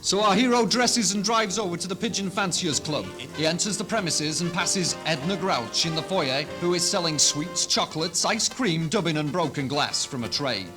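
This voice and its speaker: Male narrator, high-pitched, scripted comedy-play storytelling, 1970s hospital radio recording with music bed. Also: expressive, normal speed, hardly any pauses.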